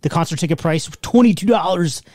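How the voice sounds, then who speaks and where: Higher-voiced man bantering on a podcast. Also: a little fast, no echo. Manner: relaxed, dry-humored banter. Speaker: podcast co-host. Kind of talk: casual podcast chat.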